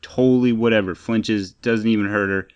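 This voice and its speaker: A man's voice: lowish voice, fairly even intonation, moderate pace, darkish tone, clear, resonant tone, muffled recording. A man co-hosting a casual pop-culture podcast, talking in an opinionated, emphatic and rambling way. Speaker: podcast co-host.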